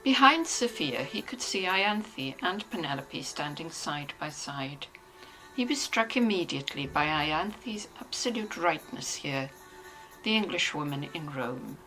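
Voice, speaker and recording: A woman's voice: low-pitched, animated, a little slow, pushed and bright, soft background music, poor audio. A woman reading scripted literary narration as the narrator of a dramatized novel.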